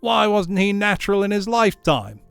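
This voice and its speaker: Man putting on a brazen, high-pitched charwoman. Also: sing-song, normal speed, vocal fry, a bit bright, clear, resonant tone, dry recording, exaggerated voice. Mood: scornful, gloating. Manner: scornful, brash, emphatic. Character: brazen, gloating charwoman, coarse and defiant. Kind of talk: storybook character voice.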